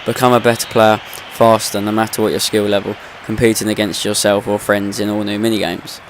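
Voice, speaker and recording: A man's voice: on the low side, flat delivery, fairly quick, a little hiss or hum, poor audio. A young man with a slight cold, ranting in casual gameplay commentary and swearing freely. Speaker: gaming video host.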